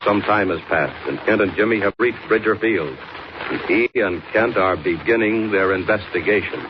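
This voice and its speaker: A man's voice: on the low side, moderate pace. A man announcing a radio serial in dramatic, grandiose, emphatic 1940s scripted narration. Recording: muffled noisy old radio recording.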